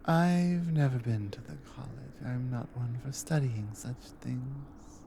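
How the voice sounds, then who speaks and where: A woman playing a devoted, protective Nordic adventurer wife in an intimate ASMR roleplay, drowsy and tender, breathy and often slipping into a whisper. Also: very deep voice, expressive, slight background noise, heavily acted. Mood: sleepy awe. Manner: slow, soft, tender with pauses.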